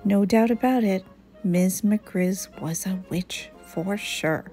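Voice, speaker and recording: A woman reading a children's story aloud in an expressive, deliberate, dramatic way. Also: mid-range voice, faint music behind.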